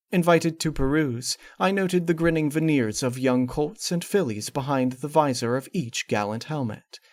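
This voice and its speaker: A man's voice: mid-range voice, no room sound. Man narrating gothic horror, dry close sound. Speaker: narrator of a horror fan fiction. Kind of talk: dramatic scripted story reading.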